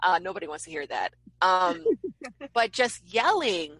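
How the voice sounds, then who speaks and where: Bright, lively husky woman. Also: fairly low pitch, unhurried, no room sound, poor audio. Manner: teasing, lively. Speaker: fitness instructor. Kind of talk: casual chat.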